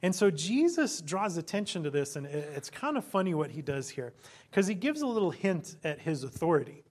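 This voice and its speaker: A hoarse male pastor preaching an earnest sermon, his voice sitting a little high. He talks in a preacherly way and often pauses with ums. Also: very animated. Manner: prays in a soft, low voice.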